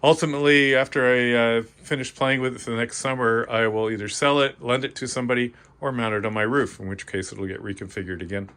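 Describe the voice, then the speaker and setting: Man, mid-pitched voice, informal hobbyist demo, halting and thinking aloud. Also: steady tone, fairly bright tone. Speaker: amateur radio hobbyist presenting his equipment. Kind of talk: informal show-and-tell demonstration.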